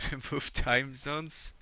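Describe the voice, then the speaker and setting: A man's voice: mid-range voice, steady tone, drawn-out words, sharp tone. A young man from Poland streaming a game, chatting casually with viewers, laid-back and swearing often. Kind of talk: casual live-stream chat while gaming. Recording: slightly dull lo-fi stream audio.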